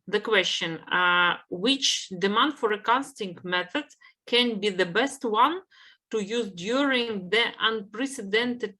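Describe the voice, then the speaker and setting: A woman carefully reading a question aloud on a video call, halting as she goes. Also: mid-range voice, pushed and bright.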